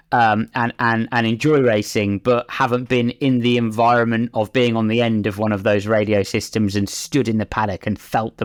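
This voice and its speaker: A man talking as a racing driver and pundit, giving conversational, discursive analysis in an emphatic way. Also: mid-range voice.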